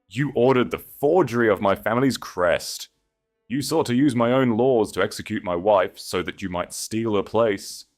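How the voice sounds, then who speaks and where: A man voicing a deep, wrathful Grand Duke. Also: moderate pace, soft background music, natural voice. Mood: roaring accusation. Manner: cold, imperious, menacing. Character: wrathful commanding male sovereign Grand Duke.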